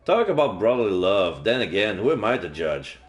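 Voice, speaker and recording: A man streaming a game, reading a visual novel's story aloud in a measured, narrative manner. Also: on the low side, sing-song. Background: faint game music.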